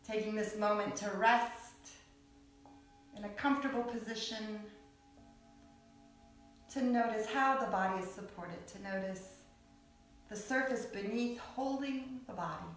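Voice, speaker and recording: A woman guiding a yoga nidra meditation in a soothing, unhurried way, with long pauses between short phrases. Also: medium pitch. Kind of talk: guided meditation. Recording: echoey, distant mic with background music.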